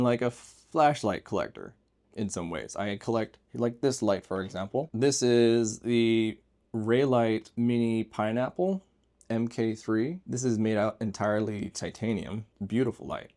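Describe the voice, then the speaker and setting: A man's voice: medium pitch, a little slow, darkish tone. A man hosting an informal show-and-tell vlog, talking in a loose, rambling, enthusiastic way. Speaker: video host showing everyday tech gear. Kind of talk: casual chat, show and tell.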